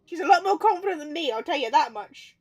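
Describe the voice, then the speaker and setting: High-pitched woman chatting over a game. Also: lively intonation, fast, a little mellow, faint music behind. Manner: playful, reactive, teasing. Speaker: game livestreamer. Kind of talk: casual chatty gameplay commentary.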